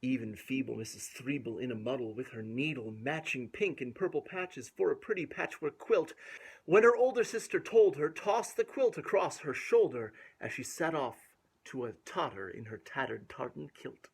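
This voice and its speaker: A man reading a rhyming picture book aloud to young children in a playful, expressive way with dramatic emphasis. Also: medium pitch, moderate pace, creaky, breathy edge, low-quality recording. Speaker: children's storyteller.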